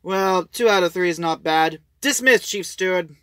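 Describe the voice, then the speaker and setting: An actor playing a pompous, imperious lord in an audio drama, brusque and commanding. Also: male voice, high-pitched, moderate pace, sharp tone, smooth, no echo, studio quality. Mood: brusque, interrogating.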